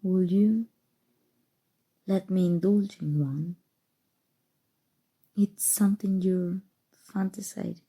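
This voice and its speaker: A woman playing a possessive evil sorceress in an ASMR roleplay, speaking softly and tenderly with a breathy voice that often drops to whispers. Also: on the low side, heavily acted. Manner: slow, soothing, deliberate, sinister affection. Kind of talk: ASMR roleplay, intimate scripted monologue.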